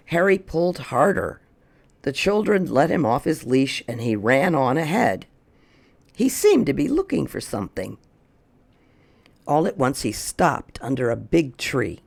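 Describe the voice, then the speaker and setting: Slow, animated storyteller with a creaky edge. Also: mid-range voice. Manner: expressive, slow, drawn-out emphasis. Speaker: storyteller reading a picture book aloud. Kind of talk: animated children's read-aloud.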